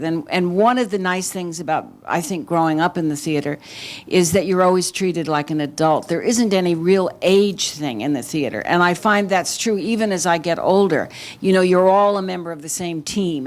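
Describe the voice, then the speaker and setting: An older woman, a former child actor, reminiscing in an interview. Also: on the low side.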